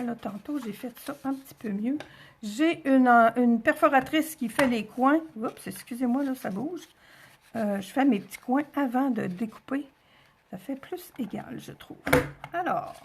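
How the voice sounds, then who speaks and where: A woman's voice: fairly high pitch, creaky, a little hiss or hum. A woman with a Quebec French accent hosting a craft tutorial, talking informally step by step and thinking aloud as she goes. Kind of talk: casual step-by-step demonstration.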